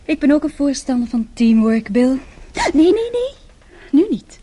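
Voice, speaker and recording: A woman's voice: high-pitched, big pitch swings, unhurried, warm tone, slight background noise. An actress playing a teasing, insistent woman in a radio play. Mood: playful, insistent. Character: playful, teasing woman fending off her partner.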